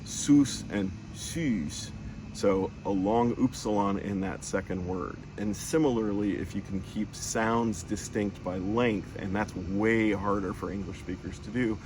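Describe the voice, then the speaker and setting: A man teaching a lesson, patiently explaining sounds and drawing out each one slowly as he demonstrates it. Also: fairly low pitch, noisy background. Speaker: language teacher.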